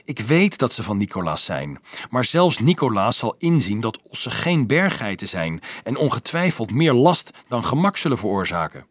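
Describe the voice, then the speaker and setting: A man's voice: medium pitch, big pitch swings, normal speed, husky, no echo. A man voicing a proud, reasoning teenage boy in a storybook, firm and emphatic. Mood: calm, firm.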